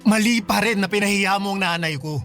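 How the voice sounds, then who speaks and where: Earnest young boyfriend, protective son. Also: male voice, high-pitched, a little fast, bright, forceful tone, faint music behind. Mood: upset, reproachful. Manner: pleading, respectful, earnest. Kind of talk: Filipino radio drama acting.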